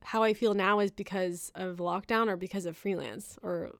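This is a chatty woman hosting a podcast, talking casually with her guests and laughing often. Her voice sits at a middle pitch, and the recording is dry and close with no room sound. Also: steady tone, normal speed, fairly bright tone, no room sound. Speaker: podcast host.

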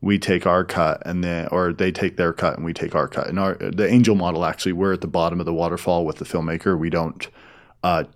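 A man with a very low voice answers questions as an interview guest. He explains things conversationally and haltingly, often repeating a few words before carrying on. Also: level tone. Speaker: interview guest, film studio executive.

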